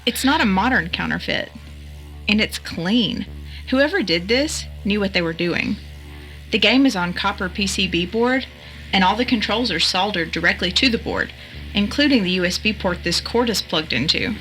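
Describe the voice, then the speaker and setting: A skeptical, matter-of-fact hardware expert acted by a woman in an audio drama. Her voice is bright and crisp-edged, her talk dry and natural, over faint music. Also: mid-range voice, lively intonation, normal speed, close to an everyday voice, rough recording. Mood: puzzled, technical.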